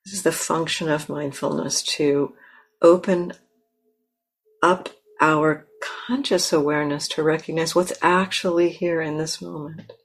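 Woman, meditation guide, deep-pitched, unhurried, gentle, frequent pauses. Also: steady tone, husky. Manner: gentle, unhurried, pausing mid-phrase. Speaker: meditation teacher. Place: meditation center.

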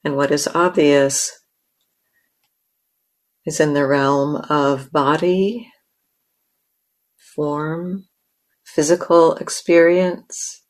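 Female meditation teacher: low register, very even intonation, measured phrasing with generous pauses. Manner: measured, with long pauses between short phrases. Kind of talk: guided meditation.

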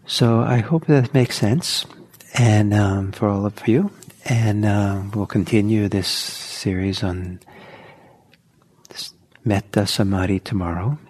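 A man teaching meditation in a soft, slow, halting way, with many pauses. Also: fairly low pitch. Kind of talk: guided meditation talk.

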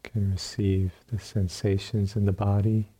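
A man leading a guided meditation as a teacher, speaking calmly in short phrases with long pauses and a soft, husky voice. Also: lowish voice. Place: meditation retreat room.

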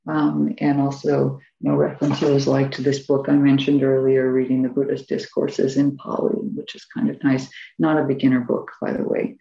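Woman teacher, earnest and hedging; gravelly, mellow voice, dull echoey recording. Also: a bass voice, completely flat delivery, moderate pace, hardly any pauses. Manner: earnest, personal, hedging with fillers. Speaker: meditation teacher. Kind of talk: dharma class talk.

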